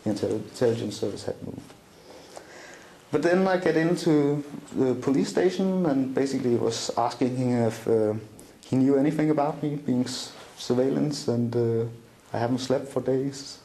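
Middle-aged Danish man, gravelly dark voice, echoey room, halting interview guest. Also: medium pitch. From Denmark. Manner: halting, many fillers and restarts. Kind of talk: recounting a personal story in an interview. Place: small room indoors, seated at a table.

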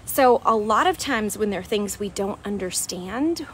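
A woman's voice: mid-range voice, lively intonation, moderate pace, fairly bright tone, a little hiss or hum. Chatty woman walking outdoors. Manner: chatty, explanatory, with frequent "right?" and "um". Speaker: weight loss coach. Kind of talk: casual walk-and-talk vlog. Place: outdoors at a beach park. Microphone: wired earphone mic, phone at arm's length.

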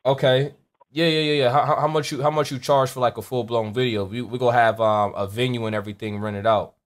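A young man with an American accent talks in a medium-pitched voice. His speech is casual and full of slang, with stuttered, repeated words, like someone making a prank call from his room at home. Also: steady tone, quick pace. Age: young adult. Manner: slangy, stammering repetitions. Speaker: prank caller posing as a rapper client. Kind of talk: casual phone prank chat.

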